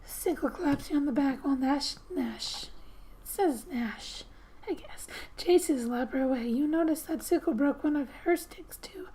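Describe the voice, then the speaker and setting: A soft-spoken woman reading game text aloud in a gentle, hushed ASMR style with musing asides, often slipping into a whisper. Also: sits high, unhurried, a little hiss or hum, low-quality recording. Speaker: gaming ASMR host.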